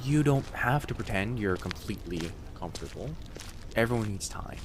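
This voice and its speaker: A man voicing a friendly, gently teasing young fox-spirit college student in an immersive roleplay audio, speaking casually and reassuringly to the listener. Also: lowish voice, lively intonation, normal speed, background noise, lightly acted. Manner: soft-hearted, reassuring, casual conversational delivery. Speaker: voice actor.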